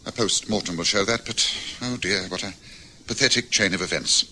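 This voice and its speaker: A man playing an astute detective in a radio drama, speaking in a measured, reflective, precise manner. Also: fairly low pitch, bright, forceful tone, poor audio. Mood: reflective, regretful. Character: astute, composed male detective summing up a case. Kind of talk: old-time radio drama acting.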